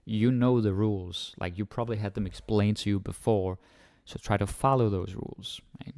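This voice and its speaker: A man's voice: fairly low pitch, normal speed, a little mellow, dry recording, studio quality. A man teaching Danish in a chatty, informal way, with lots of "like" and "you know" fillers, often acting out what learners think. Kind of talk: casual spoken lesson to camera.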